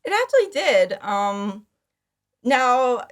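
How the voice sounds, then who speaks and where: A woman speaking as a guest in a casual interview, giggly, with animated asides and lots of "like". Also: a little high, slow. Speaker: podcast guest, fan audio drama creator.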